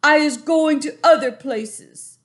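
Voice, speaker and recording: A woman voicing a gentle, friendly male giant who speaks in garbled grammar. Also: high voice, takes it slowly, pitched above the performer's own voice. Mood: pleading. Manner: mangled grammar, earnest pleading.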